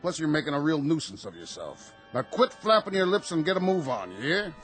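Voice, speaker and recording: An actor playing a gruff, impatient street policeman, curt and scolding, in old-time radio drama style. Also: on the high side, sing-song, fairly quick, fairly bright tone, soft background music. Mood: stern, impatient.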